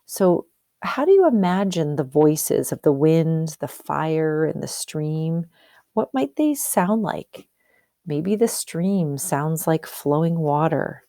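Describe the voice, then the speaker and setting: A woman teacher with a slightly low voice reads a storybook aloud. She moves between narration and explanation, asking and answering her own questions. The recording is dry and close. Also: vocal fry, warm tone, dry recording.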